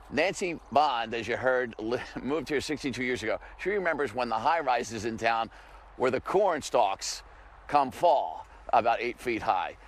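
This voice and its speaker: A middle-aged man delivers a live news report from outdoors. His tone is conversational, with firm emphasis on key points. His pitch sits in an ordinary middle range. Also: slight background noise. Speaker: field reporter. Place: outdoors by a grassy field.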